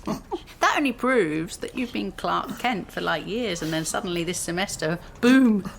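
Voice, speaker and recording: A woman's voice: medium pitch, lively intonation, measured pace, creaky, slight background noise. An actress playing a chatty, eager university lecturer who teases her colleague in a radio drama. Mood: teasing, excited. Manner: chatty, teasing. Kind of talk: naturalistic radio drama acting.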